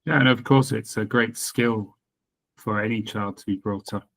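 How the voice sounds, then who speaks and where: Male interviewer, lowish voice, stumbles into his questions. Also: fairly even intonation, creaky, a bit bright. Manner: halting, stumbling starts.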